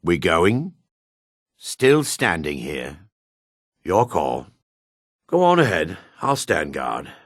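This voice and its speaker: Penitent dark elf priest, husky voice, close dry sound, earnest, careful, formal phrasing, fantasy game companion. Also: male voice, sits low, wildly swooping pitch, slow pace, long pauses, dry recording, heavily acted. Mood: calm, ready. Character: remorseful male dark elf priest, gentle, pious, wary. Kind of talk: video game companion dialogue.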